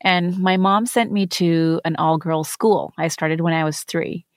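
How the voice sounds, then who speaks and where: A woman's voice: fairly low pitch, steady tone, measured pace, no room sound, studio quality. A woman podcast host from India, speaking in an earnest, reflective way in a conversational interview.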